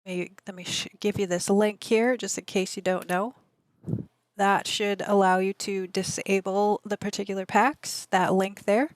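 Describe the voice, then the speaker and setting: A woman streamer with a slightly raspy voice, chatting casually and answering her viewers. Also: mid-range voice, flat delivery, moderate pace, no room sound. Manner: rambling, chatty, answers chat messages aloud. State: allergies. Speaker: game streamer.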